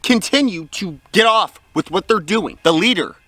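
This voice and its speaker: A man's voice: sits high, expressive, moderate pace, bright, forceful tone, no room sound. An American man giving opinionated political commentary as a host, blunt, indignant and colloquial in manner.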